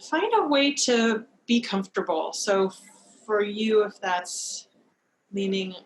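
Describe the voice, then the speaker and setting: A woman leading a guided meditation as a teacher, speaking in a calm, gentle, unhurried manner with long pauses, over a video call. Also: medium pitch. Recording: noisy live video-call recording.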